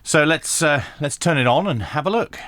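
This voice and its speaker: A man giving a hands-on tech tutorial, talking through each step methodically with pauses and dry asides. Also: a little high, animated, a bit bright, no room sound. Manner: methodical, thinking aloud with frequent pauses. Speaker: radio reviewer.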